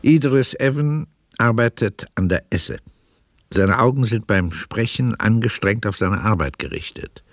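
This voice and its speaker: The storyteller of a radio play, with a fairly low voice in a dry studio sound. He sets out each scene in measured, sober, literary narration. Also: animated, dry recording, faint music behind. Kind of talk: scripted narration.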